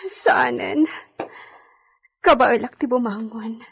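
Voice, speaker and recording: A frail, proud young Filipino lady of the house. She speaks slowly in a very breathy, feeble, fairly high voice. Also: fairly bright tone, heavily acted. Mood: weak, stubborn. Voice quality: breathy, weak. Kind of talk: radio drama acting.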